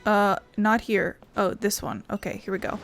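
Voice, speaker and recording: A woman's voice: mid-range voice, steady tone, moderate pace, gravelly, faint music behind. A woman giving casual, chatty commentary as she plays a game, thinking aloud and swearing now and then. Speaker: gaming video host.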